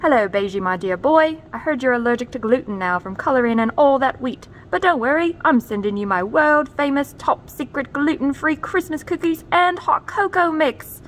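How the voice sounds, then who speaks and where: A woman's voice: high-pitched, animated, moderate pace, hardly any pauses, slight background noise, voice pushed up. A woman voicing a doting crayon father in a storybook, affectionate and proudly boastful. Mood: fond, proud. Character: doting crayon dad writing to his dear boy.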